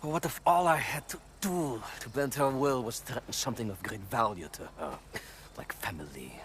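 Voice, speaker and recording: An actor playing a menacing, cruel German commander in a cinematic game, with a German accent and a theatrical, gloating manner. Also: male voice, medium pitch, lively intonation, normal speed, noisy background, lightly acted. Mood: gloating menace.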